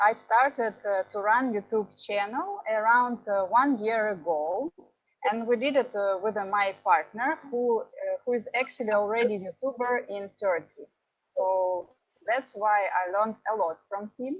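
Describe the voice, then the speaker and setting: Young Ukrainian woman, halting speech. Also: medium pitch. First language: Russian. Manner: hesitant, many pauses and fillers. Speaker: guest speaker, travel vlogger. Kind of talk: online conference talk. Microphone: earphone headset on a video call. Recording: dull lo-fi video call audio.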